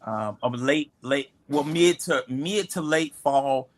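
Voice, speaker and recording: A man who creates comics, hosting a casual livestream chat and talking haltingly with many pauses and restarts. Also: medium pitch, no echo. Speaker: comic creator and show host.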